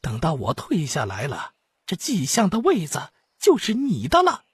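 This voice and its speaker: Guy doing sly old-court eunuch for an audiobook, raspy, swingy pitch, folksy and full of himself. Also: huge pitch swings, normal speed, no echo, heavily acted. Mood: pleased. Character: wily, chummy court eunuch minister, self-important.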